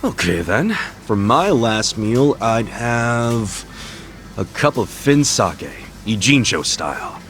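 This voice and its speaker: An actor voicing an easygoing, goofy, good-hearted man in an English-dubbed video game, talking in casual, friendly, expressive banter. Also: medium pitch, slow pace, a little hiss or hum. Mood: relaxed, friendly.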